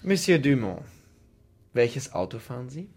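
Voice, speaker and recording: An actor playing a gruff, bullying police lieutenant who questions people curtly and sarcastically in a radio crime drama. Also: male voice, medium pitch, sing-song, unhurried, a little mellow, full character voice. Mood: stern, provoked. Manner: curt, sarcastic interrogating.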